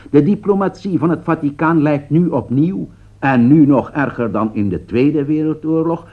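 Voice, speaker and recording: A grave political commentator in a radio play, addresses his listeners slowly and deliberately. His voice sits at a middle pitch and his phrasing is solemn and rhetorical. The recording is dull and lo-fi. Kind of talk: scripted radio drama commentary.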